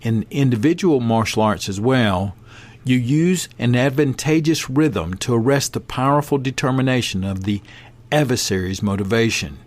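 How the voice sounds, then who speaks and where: A man hosting a podcast, reading a passage aloud and adding his own commentary in a measured way. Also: medium pitch. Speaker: podcast host.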